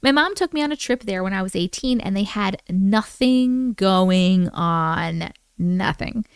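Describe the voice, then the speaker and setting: A woman hosting a live stream, reading listener stories aloud in a casual, animated way with chatty asides. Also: medium pitch, measured pace, smooth, no room sound, studio quality. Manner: animated, informal, interjects reactions.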